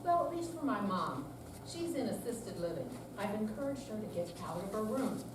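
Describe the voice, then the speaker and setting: Heard from a distance in a very reverberant room with steady noise, this is an actress playing a seventy-year-old woman in a staged reading. She is earnest and confiding, weary yet resolute, and speaks in a deliberate, scripted way. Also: medium pitch, lightly acted. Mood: earnest, troubled. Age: older. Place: senior center room, live performance. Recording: echoey room, distant mic, clear background noise.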